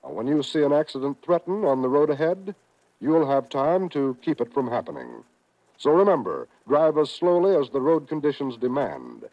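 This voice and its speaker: An American man working as a 1950s radio announcer, reading a scripted message in a measured, formal, emphatic way. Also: fairly high pitch, sing-song. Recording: muffled old radio broadcast.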